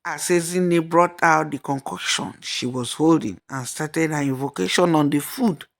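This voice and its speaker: A woman's voice: deep voice, normal speed, gravelly. A woman storyteller giving a scripted narration of a folktale.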